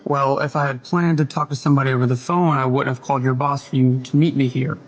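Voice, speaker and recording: An actor playing a brusque, sardonic ex-boyfriend in an audio drama, heard through a phone filter. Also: male voice, mid-range voice, fairly even intonation, fast. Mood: irritated sarcasm. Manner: curt, sarcastic. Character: brusque, sardonic male ex-boyfriend, a commanding professional. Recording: muffled phone call with background noise.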